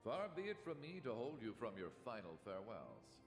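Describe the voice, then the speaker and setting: Smug villain in an echoing hall. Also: male voice, lowish voice, huge pitch swings. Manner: formal, measured, theatrical. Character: smug, courteous male villain granting a mocking reprieve. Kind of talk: video game voice acting. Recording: echoey hall with faint music behind.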